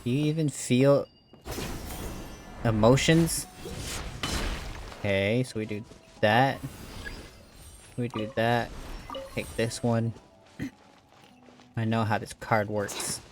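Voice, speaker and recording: A man's voice: mid-range voice, slow pace, pauses often. A man commenting casually on a video game as he plays, chatty and reactive. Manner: chatty, reactive, talks to the screen. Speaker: gaming streamer. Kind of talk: let's play commentary. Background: game music.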